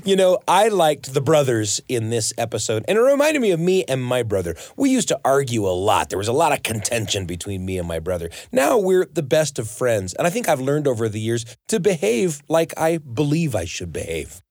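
A male podcast host with a very animated, mid-pitched delivery. He presents conversationally in a dry recording. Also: brisk, hardly any pauses, no room sound.

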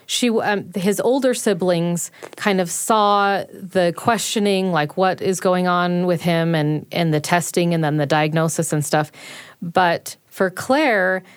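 Slow-paced woman, interviewed parent. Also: fairly low pitch, clear, resonant tone, no echo, clean studio sound. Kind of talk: casual interview talk. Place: studio.

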